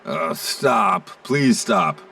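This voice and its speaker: An actor playing an earnest, inquisitive young navy plane with a creaky voice, speaking formally as a dutiful subordinate in an animated game. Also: male voice, mid-range voice, extremely slow, recorded from a distance, exaggerated voice. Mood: curious.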